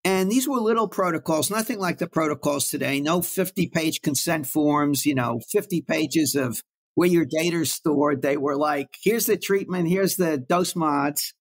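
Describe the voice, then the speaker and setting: An older man from Brooklyn recalls his working life as an interview guest. His voice sits a little high, and he speaks in an anecdotal, reflective way. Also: moderate pace. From Brooklyn, New York. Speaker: guest oncologist. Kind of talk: storytelling in an interview.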